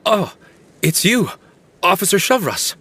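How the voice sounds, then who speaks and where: An actor playing a calm, polite male novelist with a killer's secret in a video game, courteous and articulate. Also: sits high, measured pace, noisy background, exaggerated voice. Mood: wary politeness.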